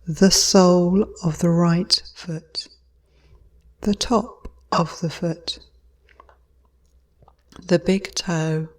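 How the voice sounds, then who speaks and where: A woman with a fairly low voice guides a meditation at a very slow pace. She speaks as a yoga instructor, in short, measured phrases separated by pauses.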